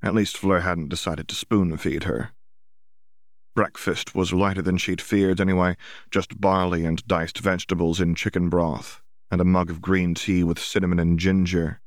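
A man with a low-pitched voice narrates a novel for an audiobook. His reading is scripted and literary. The recording is dry, with very little room sound. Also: level tone, moderate pace, dry recording.

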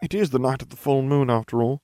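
A man's voice: mid-range voice, quick pace, mellow, dry recording, studio quality, pitched above the performer's own voice, close to an everyday voice. A man voicing a smug, theatrical, scheming schoolchild in an audiobook, glib and mock-grave.

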